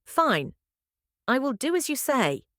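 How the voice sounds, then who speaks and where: Storybook devoted wife, pleading and anguished, acted by a woman narrator; animated pitch, dry sound. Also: takes it slowly, no room sound, full character voice. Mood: defeated. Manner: pleading, earnest. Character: devoted young wife, anguished, self-sacrificing.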